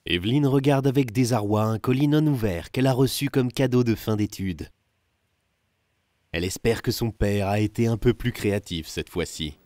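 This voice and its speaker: A man's voice: medium pitch, moderate pace, warm tone. A man reading scripted third-person narration for a film.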